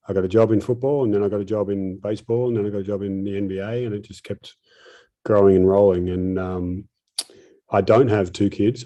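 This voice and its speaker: A man with an Australian accent, a performance coach introducing himself in a relaxed, self-deprecating way on a video call. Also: fairly low pitch.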